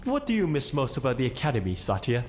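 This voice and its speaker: An actor playing an upbeat, friendly, teasing architect hero in a video game, with a playful, theatrical manner. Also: male voice, mid-range voice, huge pitch swings, fast, a little hiss or hum. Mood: playful, fond.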